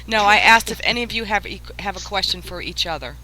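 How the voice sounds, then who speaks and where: A woman's voice: medium pitch, lively intonation, quick pace, pushed and bright, poor audio. A woman hosting a casual panel chat, passing questions around the group. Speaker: host.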